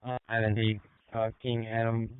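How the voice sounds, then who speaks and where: A man's voice: mid-range voice, completely flat delivery, moderate pace, clear, resonant tone. A man speaking in terse coded phrases over a police two-way radio. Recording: tinny narrow-band police scanner.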